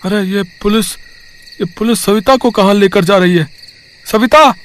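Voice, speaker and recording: A man's voice: sits high, a little slow, slight background noise. A man voicing an agitated, bewildered working-class husband in a cartoon story, questioning with urgent melodrama. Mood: alarmed. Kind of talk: acted Hindi cartoon story dialogue.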